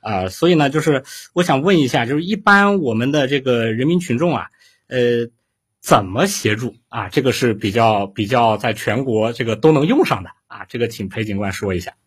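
A man co-hosting a live interview, speaking in halting, repetitive phrases. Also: mid-range voice, expressive, breathy edge. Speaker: co-host interviewing guests. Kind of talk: live broadcast interview chat.

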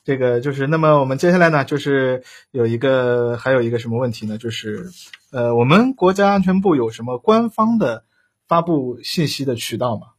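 A man co-hosting a livestream in casual chat, talking in a halting, rambling way with repeated words. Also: mid-range voice, animated. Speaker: livestream co-host.

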